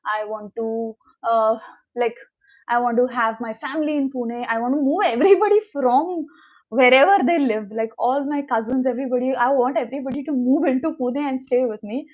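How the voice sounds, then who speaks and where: A woman's voice: sits high, brisk. A young woman from India, a Konkani native speaker with an Indian English accent, chatting casually and rambling on a motivational livestream while reading out viewer comments. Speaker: livestream host. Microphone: new mic, livestream. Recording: dull lo-fi livestream audio.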